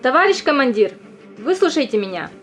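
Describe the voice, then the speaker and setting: A woman's voice: sits high, very animated, fairly quick, fairly bright tone. An actress playing a determined young woman volunteering for the front, earnest and insistent, in a theatrical audio drama. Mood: pleading, determined. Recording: music under the voice.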